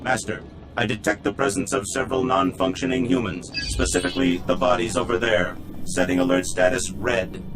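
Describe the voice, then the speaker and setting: An actor playing a dutiful, menacing combat droid in a video game, speaking formally and mechanically. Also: male voice, deep voice, a little fast, background noise, lightly acted. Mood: dutiful menace.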